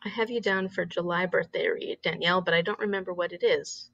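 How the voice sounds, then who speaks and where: A woman's voice: fairly low pitch, brisk, vocal fry, a bit bright, dry recording. A woman with a nasal voice, hosting a reading stream and chatting casually with a friend, laughing often and hunting for words. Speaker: host of a reading livestream. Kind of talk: casual chat between friends. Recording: dull, muffled audio.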